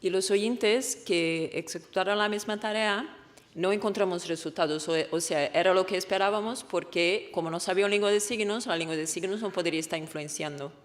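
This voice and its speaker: A woman's voice: mid-range voice, a little fast. Portuguese-accented woman presenting in a reverberant hall. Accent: Portuguese-speaking. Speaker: researcher presenting a study. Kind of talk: conference presentation. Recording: echoey hall with long reverb.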